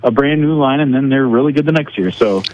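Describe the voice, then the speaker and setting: A man's voice: mid-range voice, fast, a little mellow, muffled recording. An American man giving casual sports analysis as a guest broadcaster on a radio show.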